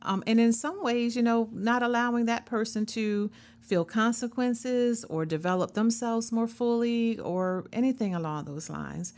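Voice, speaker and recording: A woman's voice: on the high side, a little slow, darkish tone. A woman in her fifties, a therapist hosting a show, talking through ideas in a conversational, earnest way with frequent asides. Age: 50s. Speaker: therapist and podcast host. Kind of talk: reflective solo talk.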